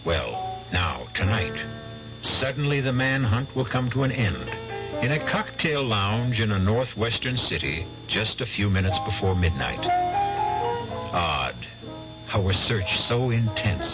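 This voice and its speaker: Slow, ominous male radio narrator. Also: lowish voice. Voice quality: measured, ominous. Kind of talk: scripted dramatic narration. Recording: dull lo-fi 1970s radio broadcast with music.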